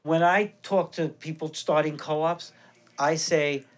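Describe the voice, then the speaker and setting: An older man giving a calm, explanatory documentary interview. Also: fairly high pitch, slow pace, a little hiss or hum.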